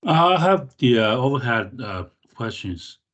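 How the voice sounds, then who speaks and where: A man speaking haltingly as an attendee in a staff training meeting. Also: medium pitch, sing-song, fairly bright tone. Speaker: staff attendee asking a question.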